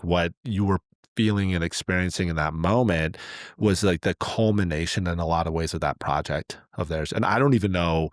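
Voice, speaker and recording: Guy in his 40s on a podcast, deep voice, kinda rambly and thoughtful, lots of likes, really dry sound. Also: dry recording. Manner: rambling, frequent "like" and "you know". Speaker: podcast co-host. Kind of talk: casual reflective podcast conversation.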